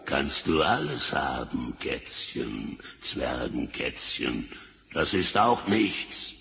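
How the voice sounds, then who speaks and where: A man's voice: a bass voice, big pitch swings, creaky, sharp tone, exaggerated voice. An actor playing a vain, boastful wizard in a fairy-tale audio drama, condescending as he intones his spells. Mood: smug, scornful. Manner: slow, condescending, incantatory. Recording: echoing hall, noisy vinyl transfer.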